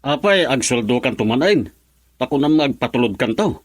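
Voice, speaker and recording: Radio drama husband and father, male actor: Ilocano accent, cheerful, mid-pitched, very lively intonation. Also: normal speed, dry recording, studio quality, lightly acted. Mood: delighted. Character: cheerful married father, husband of an overseas worker.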